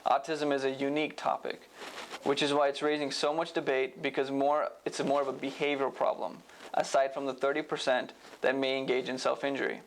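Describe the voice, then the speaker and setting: A young man presents scripted educational material in a formal, explanatory way. His medium-pitched voice has a noticeable creak, and there is faint noise behind it. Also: level tone, brisk, poor audio. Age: young adult. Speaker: medical student presenter.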